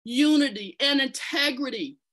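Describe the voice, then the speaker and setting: Bright-voiced woman telling a history. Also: high voice, normal speed. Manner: earnest, conversational, with restarts and fillers. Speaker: podcast presenter telling an organization's history. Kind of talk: storytelling, partly reading aloud.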